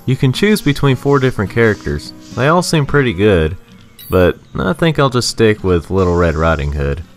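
A man's voice: lowish voice, sing-song, normal speed, faint music behind. A man reading a scripted, rhyming video game review in a sarcastic, sing-song manner.

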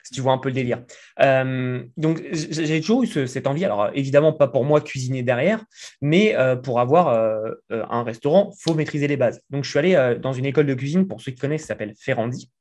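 A man's voice: mid-range voice, a little fast, a little mellow. A man in his thirties from France, a guest talking casually in an interview from his living room, chatty with many fillers. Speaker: guest entrepreneur. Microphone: desk mic in frame.

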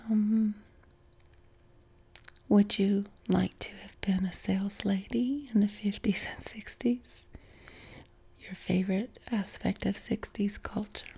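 Middle-aged woman w soft appalachian accent, all breathy whisper, slow and mellow, living room. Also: mid-range voice, steady tone. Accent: softened Appalachian. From West Virginia. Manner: unvoiced whisper, unhurried with many ums. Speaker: ASMR creator. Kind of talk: whispered Q&A chat. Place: living room, seated on a couch. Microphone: close mic, camera framed on torso.

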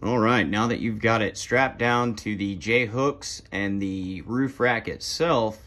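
A young man casually walking through steps of a how-to under a carport at night, speaking in relaxed, plainspoken directions to a phone camera as he moves around. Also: on the low side, slow pace. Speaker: kayaker demonstrating gear. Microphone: phone camera, moving around the car.

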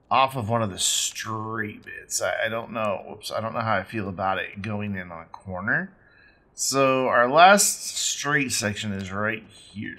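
Man with a rough, raspy sick voice. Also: on the low side, sharp tone. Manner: rambling, blunt, with ums and self-corrections. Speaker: let's play host. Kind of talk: casual gameplay commentary.

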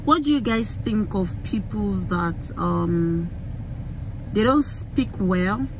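A young Nigerian woman with a Yoruba-flavoured accent, vlogging into a phone in a car, talking in an animated, emphatic way with many fillers and restarts. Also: medium pitch, slow, noisy background. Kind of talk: casual rant to camera. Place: inside a parked car. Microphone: phone camera held close.

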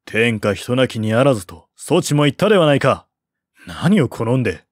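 A man's voice: mid-range voice, big pitch swings, moderate pace, no room sound. A man playing an irritable male ruler, dismissive, haughty, curt, dry studio-like sound, audiobook. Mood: irritated. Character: scornful, irritable male king, quick to dismiss. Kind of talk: audiobook character voice.

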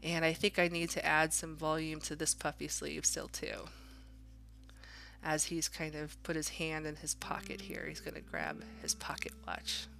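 A woman with a fairly low, bright voice narrates a drawing demo as an instructor. She talks casually and encouragingly, thinking aloud as she works, with a little creak in her tone. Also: normal speed, soft background music. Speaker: art instructor.

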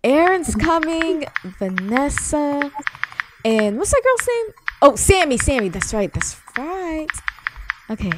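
Woman playing an animated, high-voiced playful young sister. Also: takes it slowly, noisy background, heavily acted. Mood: casual. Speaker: roleplay video creator. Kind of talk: improvised voiced game roleplay.

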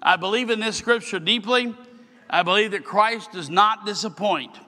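A man's voice: sits high, sharp tone. Older man preaching in a reverberant hall. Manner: earnest preaching, slowing on scripture. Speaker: bishop. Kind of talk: sermon keynote address. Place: large hall at a podium. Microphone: podium microphone.

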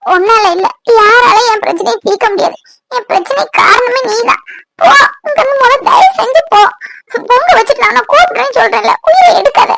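An actress playing a bitter, tearful young bride in a serial, scolding curtly. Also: female voice, extremely high pitch, expressive, fairly quick, fairly bright tone, dry recording, exaggerated voice, low-quality recording. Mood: tearful, angry. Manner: scolding, curt, emotional. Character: bitter, tearful young bride who scorns her husband. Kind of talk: Tamil TV serial acting.

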